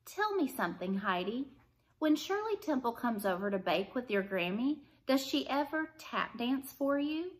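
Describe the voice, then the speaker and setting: A woman reading aloud the part of a chatty, gently probing woman in a storybook. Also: on the high side, big pitch swings, normal speed, pitched above the performer's own voice, rough recording. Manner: chatty, leading questions. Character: Alice, chatty adult woman, delighted then gently probing. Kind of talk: storybook character dialogue read aloud.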